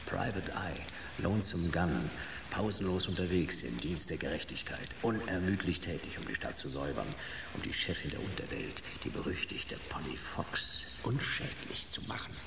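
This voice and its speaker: A hardboiled private eye in a radio play, wry and very deep. The pitch moves a lot, and the sound is roomy with noise behind. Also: male voice, lively intonation, moderate pace, creaky. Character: Jonas, wry hardboiled male private detective.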